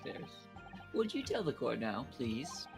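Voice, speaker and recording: Earnest young defense lawyer played by a man, creaky mid-pitched voice, polite and anxious, music underneath. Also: wildly swooping pitch, unhurried, exaggerated voice. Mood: pleading. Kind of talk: video game fan dub.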